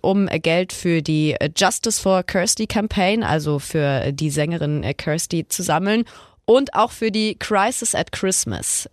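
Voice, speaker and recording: A woman's voice: mid-range voice, dry recording. Woman co-hosting, dry close studio sound. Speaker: co-host of a music show. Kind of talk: semi-scripted music storytelling.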